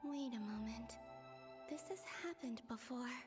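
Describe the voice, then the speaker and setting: A woman voicing a soft-spoken, puzzled young woman in a fantasy video game, often musing to herself. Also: fairly high pitch, unhurried, natural voice. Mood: puzzled, reflective. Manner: musing aloud to herself in hushed, breathy wondering. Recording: game music behind the voice.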